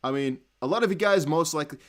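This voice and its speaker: A young man with a Dutch accent hosting a casual livestream, reading chat aloud and breaking into excited whoops. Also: fairly high pitch, fast. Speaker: crypto livestream host.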